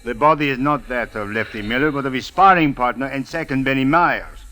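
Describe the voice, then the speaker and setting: A man's voice: mid-range voice, brisk, pushed and bright, natural voice. A man playing an exasperated police official, stern and formal, in 1940s radio style. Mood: skeptical.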